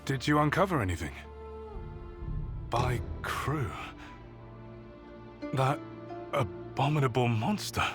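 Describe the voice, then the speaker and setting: An actor playing a grave, protective mentor in a video game, speaking in a solemn, measured way. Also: male voice, on the low side. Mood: grim. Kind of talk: video game cutscene acting.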